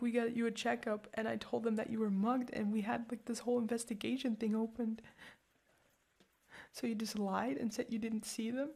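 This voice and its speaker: A woman's voice: on the high side, creaky, a little mellow. A young woman playing a protective girlfriend who is furious and hurt, mostly whispering with stumbling, intense outbursts in an ASMR roleplay.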